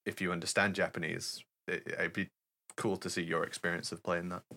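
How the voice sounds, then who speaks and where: A man co-hosting a podcast, sharing opinions in a measured, informative way. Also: deep voice, level tone, vocal fry, pushed and bright. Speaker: podcast co-host. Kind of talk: casual chat.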